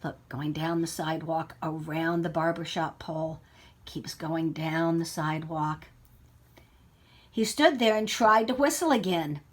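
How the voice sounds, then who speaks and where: A woman reading a story aloud to children like a teacher, expressive, with explanatory asides and questions for young listeners. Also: deep voice. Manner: expressive, child-directed, pausing to ask questions.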